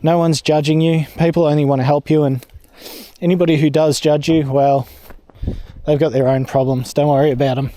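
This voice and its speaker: A man's voice: on the high side, little pitch movement, fairly quick, mellow. An Australian man rambling casually and self-deprecatingly as he vlogs outdoors while working on a task. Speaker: vlogger and amateur radio operator. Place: outdoors, portable radio setup. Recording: faint background noise with clicks.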